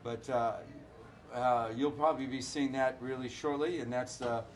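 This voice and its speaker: A hoarse middle-aged man, a winemaker, talking casually and haltingly with many filler uhs. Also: medium pitch, steady tone, slight background noise. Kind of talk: casual chat.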